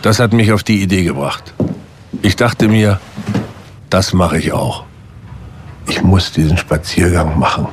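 A man's voice: low voice, a little slow, pauses often, noisy background. A wistful man longing for freedom. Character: restless East German man dreaming of travel. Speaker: film character in clips. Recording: film clip with music underneath.

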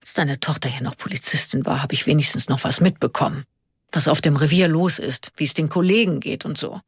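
Woman voicing a grumpy, husky older retired policeman. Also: deep voice, dry recording, clean studio sound. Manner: complaining, impatient, commanding. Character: grumpy, hot-headed retired male police officer, indignant and stubborn. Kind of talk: audiobook character dialogue.

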